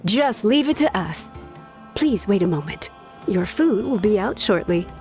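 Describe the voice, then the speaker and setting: An actress playing a friendly, easygoing food-stall owner in a video game, jovial and welcoming. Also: female voice, mid-range voice, animated, darkish tone, close to an everyday voice. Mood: amused, reassuring. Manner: jovial, unhurried. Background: game music.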